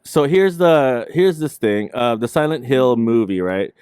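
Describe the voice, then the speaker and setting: A man's voice: medium pitch, lively intonation, a little slow, darkish tone, clear, resonant tone. A man hosting a casual livestream chat in slangy, jokey talk, saying dude a lot. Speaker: show host.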